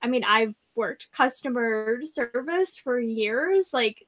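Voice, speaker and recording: A woman's voice: fairly high pitch, expressive, slow, fairly bright tone. A woman hosting a casual book discussion, giving blunt opinions with lots of "like". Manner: frequent "like", opinionated. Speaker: discussion host. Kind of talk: casual chat about books.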